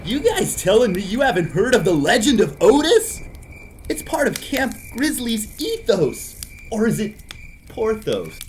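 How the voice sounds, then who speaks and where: A man's voice: sits high, very animated, measured pace, noisy background, voice pushed up. A man playing a cocky, mocking young male camp counselor in an exaggerated, taunting hobby audio drama style. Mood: cocky, teasing.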